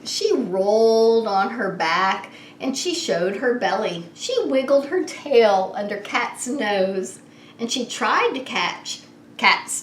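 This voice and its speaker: A woman reading a picture book aloud to young children as a preschool story time host, expressive and unhurried, drawing the listeners in. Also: on the high side. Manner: animated, unhurried, asks the child listeners questions. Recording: roomy echo with faint background noise.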